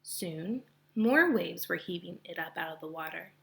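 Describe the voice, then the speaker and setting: A woman reading a children's novel aloud with expression, pausing to explain narrative point of view to her listeners like a teacher. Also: on the low side, expressive, gravelly, a bit bright.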